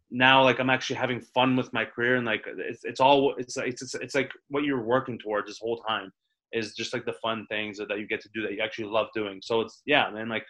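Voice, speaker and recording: A young man from the Toronto area talks about his comedy career in a loose, rambling way, full of restarts and casual swearing. His voice is bright and forward. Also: mid-range voice, rough recording. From Toronto area, Canada. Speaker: stand-up comedian guest. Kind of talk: casual interview chat.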